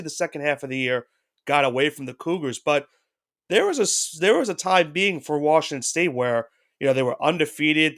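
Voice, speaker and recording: A man's voice: on the high side, a bit bright. A man co-hosting a sports podcast with a head cold, talking casually and loosely, with frequent restarts. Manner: rambling, with restarts and hedges. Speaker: podcast co-host.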